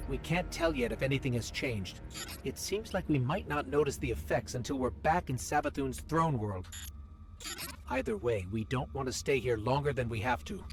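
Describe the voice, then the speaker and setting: A small, loyal robot companion from a video game, acted by a man. He is earnest and curious, with animated rises and falls in his thoughtful speech. Music plays clearly behind the voice. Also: mid-range voice, normal speed, lightly acted. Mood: wary. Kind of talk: scripted video game dialogue. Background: background music.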